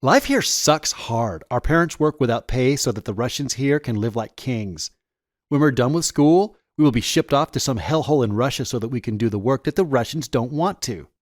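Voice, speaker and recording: Rebellious teen boy played by an adult man, bitter and sardonic, audiobook read with big pitch swings. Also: animated, normal speed, no room sound, clean studio sound, lightly acted. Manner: sardonic, profane, emphatic. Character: bitter, foul-mouthed rebellious teenage boy.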